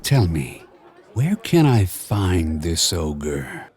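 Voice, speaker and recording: A man voicing a suave swashbuckling male cat swordsman with a Spanish accent, speaking low, slow and hissing. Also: sing-song, a little mellow, husky, heavily acted. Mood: sly.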